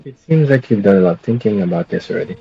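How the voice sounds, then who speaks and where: Casting: male game streamer, quick and gravelly, casual commentary, slightly muffled mic. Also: on the low side, animated, over music.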